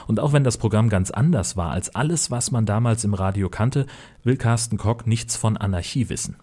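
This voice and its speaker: A man's voice: on the low side, moderate pace, warm tone, slightly rough. A man narrating a scripted report as a radio reporter.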